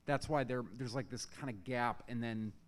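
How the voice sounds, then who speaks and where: A man's voice: mid-range voice, moderate pace. A man, a teacher in the audience, giving an informal critique, rephrasing as he goes and checking that listeners follow.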